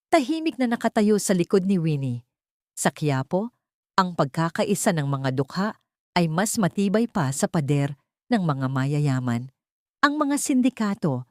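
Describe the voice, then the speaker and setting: A woman narrates a dramatic audiobook story from a script. Her voice is very low for a woman and dark, mellow in tone. The recording is close and very dry. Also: no room sound.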